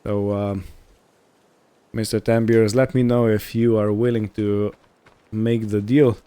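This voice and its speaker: Male game roleplay host, mellow and fairly low, casual improvised narration delivered in short halting phrases. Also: little pitch movement, smooth. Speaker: host of a roleplay gaming series.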